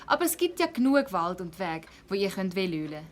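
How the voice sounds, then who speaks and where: A woman's voice: mid-range voice, big pitch swings, fairly quick, close to an everyday voice. A woman with a Swiss German accent playing a female village mayor who patiently placates children in an audio drama. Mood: placating.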